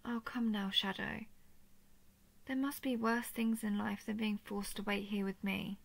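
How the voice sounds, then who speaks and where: Creaky-voiced royal dragoness, teasing and tender, acted by a woman. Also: mid-range voice, level tone, unhurried. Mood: playful, teasing. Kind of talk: intimate scripted audio roleplay addressed to listener.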